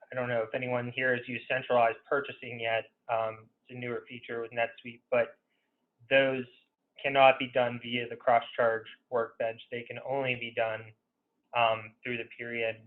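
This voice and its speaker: A man giving an informal software demo as a consultant in a webinar, conversational and hesitant, often saying "you know". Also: medium pitch, flat delivery, measured pace. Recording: narrow phone-like webinar audio.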